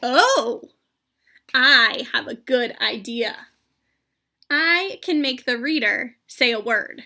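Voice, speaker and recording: Calm, playful little girl pig played by a woman, storybook read-aloud, bright, high, deliberate. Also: gravelly, exaggerated voice. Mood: mischievous.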